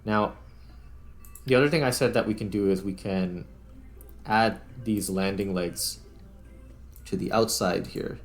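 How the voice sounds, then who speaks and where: A man's voice: lowish voice, unhurried, pauses often, soft background music. A man giving casual let's-play commentary as a gaming video host, thinking aloud in an informal way.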